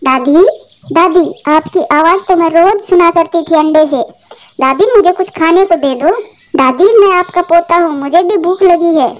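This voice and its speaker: A woman's voice: extremely high pitch, moderate pace. A woman playing a childish, complaining little baby bird in a Hindi cartoon. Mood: begging. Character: little baby bird, whiny, hungry, tattling.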